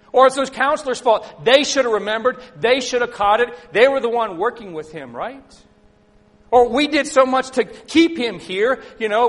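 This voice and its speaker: A middle-aged man preaching a sermon, telling a personal story and asking the congregation questions, sometimes loud and sometimes soft. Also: very high-pitched. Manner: conversational, asks the congregation questions, swings between loud and soft. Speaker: pastor.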